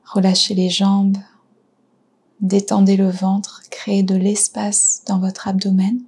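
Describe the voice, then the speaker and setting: A woman guiding a yoga nidra relaxation, speaking softly and soothingly with pauses between short phrases. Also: mid-range voice, monotone. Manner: soft, breathy, soothing delivery with pauses. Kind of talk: guided relaxation meditation.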